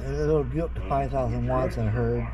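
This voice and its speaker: A man's voice: mid-range voice, steady tone, quick pace. An American man giving an informal, opinionated walkthrough of radio gear and advising listeners what to buy. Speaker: vlogger showing radio gear in a store. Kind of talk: informal off-the-cuff product walkthrough. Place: inside a busy radio store. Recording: muffled audio with busy store chatter. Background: other people talking.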